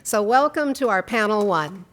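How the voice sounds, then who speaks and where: A woman introduces speakers at a community workshop in a church hall, speaking into a microphone. Her voice sits in a middle range, and she reads out a bio with playful, warm asides. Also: mid-range voice, lively intonation, normal speed, background noise. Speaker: panel moderator.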